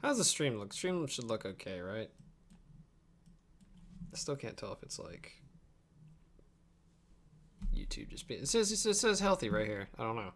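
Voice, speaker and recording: A man's voice: mid-range voice, very animated, a little slow, pauses often, fairly bright tone. A young man streaming from his computer desk, chatting casually and muttering offhand to himself as he works. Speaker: live streamer editing videos. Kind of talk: casual chat, thinking aloud while working. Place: small indoor room at a computer desk. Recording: faint computer and keyboard noise. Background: keyboard typing and mouse clicks.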